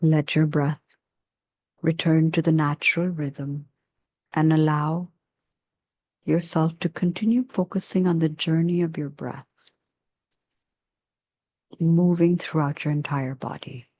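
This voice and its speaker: A woman leading a guided meditation in a soft, soothing, measured way with long pauses between phrases. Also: low voice, fairly even intonation, dry recording, highs cut off.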